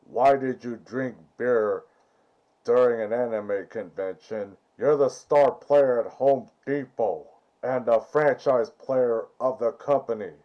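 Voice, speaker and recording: A man voicing a stern villain boss in a skit, formal, cold and measured, over dull, muffled sound. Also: medium pitch, natural voice. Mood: coldly disapproving.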